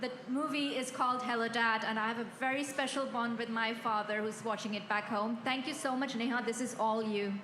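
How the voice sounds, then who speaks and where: A woman from Switzerland, an actress, gives a short and grateful thank-you speech on stage. Her voice sits high. The sound carries a roomy echo with a long reverb tail. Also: fairly even intonation, brisk, few pauses, crowd noise.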